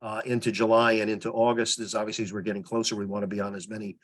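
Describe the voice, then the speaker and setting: A man giving an informal report on a teleconference as a public relations volunteer, explaining things in a halting, meandering way. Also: lowish voice, flat delivery. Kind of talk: informal spoken report in online meeting. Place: teleconference call.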